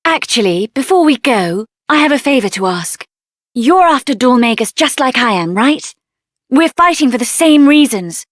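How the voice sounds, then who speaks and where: High-pitched, spirited young mage heroine. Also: female voice, normal speed, no echo, clean studio sound. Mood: earnest, determined. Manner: assertive, sassy, expressive. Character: spirited, headstrong young female mage adventurer. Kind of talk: video game character voice acting.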